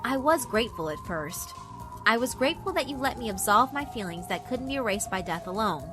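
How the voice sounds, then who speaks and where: A woman voicing an earnest, gentle schoolgirl ghost in a horror visual novel, speaking solemnly. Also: a little high, animated, brisk, music in the background, natural voice. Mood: earnest, reproachful.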